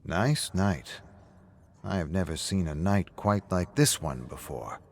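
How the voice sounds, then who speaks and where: A man acts out a wistful, talkative male friend in a narrated story. His voice is low and he rambles in a philosophical, consoling way, with noticeable noise behind. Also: expressive, takes it slowly, heavily acted. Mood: gentle, musing. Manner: rambling, philosophical small talk.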